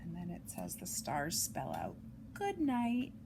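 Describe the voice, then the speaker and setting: A woman reads a picture book aloud to young children in an expressive, sing-song way, adding chatty asides, on an amateur home recording. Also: on the low side, a little slow, vocal fry, noisy background. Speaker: storytime reader for young children.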